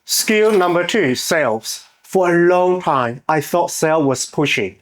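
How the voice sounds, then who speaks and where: A man in his thirties with a Chinese accent, hosting his own channel and giving earnest, measured, scripted motivational narration with small grammar slips. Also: a little high, animated. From China. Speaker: channel host.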